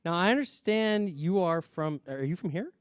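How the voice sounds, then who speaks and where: A man's voice: high voice, lively intonation, moderate pace, clean tone, dry recording. A man hosting a radio variety show, chatting casually and effusively as he interviews a guest on stage.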